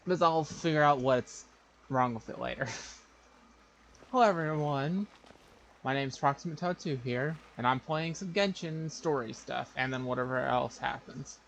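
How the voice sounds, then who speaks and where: Male game streamer, gravelly creak, casual and halting delivery, faint noise behind. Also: mid-range voice, a bit bright, rough recording. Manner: halting, muttering to himself. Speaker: livestreamer. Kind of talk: casual gaming stream chat.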